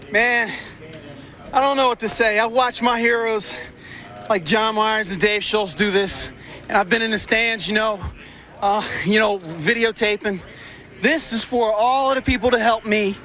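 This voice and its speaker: A man's voice: high voice, measured pace, long pauses, pushed and bright. Elated man with a fraying voice. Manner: overjoyed, breathless thanks. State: losing his voice. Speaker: winning drag racer. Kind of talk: post-race interview. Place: outdoors at a racetrack.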